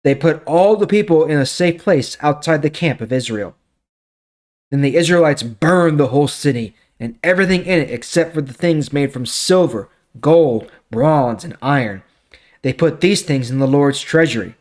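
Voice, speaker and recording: Higher-pitched man reading scripture aloud. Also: normal speed, a little mellow. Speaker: host of a Bible reading series. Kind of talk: read-aloud scripture with casual commentary.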